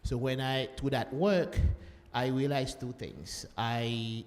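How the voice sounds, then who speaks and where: A man's voice: medium pitch, fairly even intonation, takes it slowly. A man from Haiti speaking on a conference panel, talking with many fillers and restarts. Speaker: panelist, social entrepreneur. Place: conference hall. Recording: long hall reverb, faint room noise.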